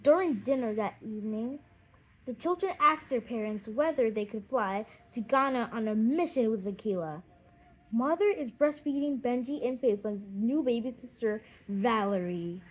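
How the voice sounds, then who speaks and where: A woman narrating a children's audiobook in an expressive storytelling manner. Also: fairly high pitch, normal speed, low-quality recording.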